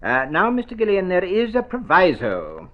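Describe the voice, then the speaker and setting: Fussy family lawyer, highish voice, expressive pitch, formal, disapproving, vintage radio play, dull sound. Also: male voice, normal speed. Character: prim, disapproving male family solicitor. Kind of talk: old-time radio drama acting.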